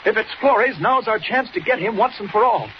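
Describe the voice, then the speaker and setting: An earnest man acting as an urgent bearer of warnings in a 1940s radio serial. The voice is high for a man, on a muffled recording with clear noise. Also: fairly quick, natural voice. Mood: urgent, pleading.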